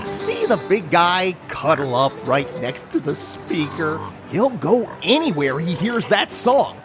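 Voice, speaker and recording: A folksy woman of the county fair in an audio comedy. She chats away with lively, swooping intonation and is quick to scold, with music clearly playing behind her. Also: on the low side. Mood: chatty, folksy.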